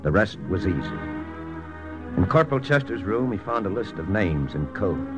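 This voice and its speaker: A man's voice: fairly low pitch, very animated, moderate pace, highs cut off, loud music behind the voice. A man playing a hard-boiled American spy lieutenant, narrating terse in first person in a 1940s radio drama. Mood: somber. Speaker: narrator and lead actor. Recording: old radio recording with hiss.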